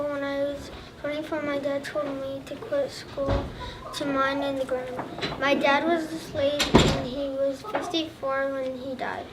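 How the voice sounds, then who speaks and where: A child of about eight or nine reciting a first-person school presentation as a historical figure. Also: sits high. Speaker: third grade student presenter. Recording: distant mic, loud room noise.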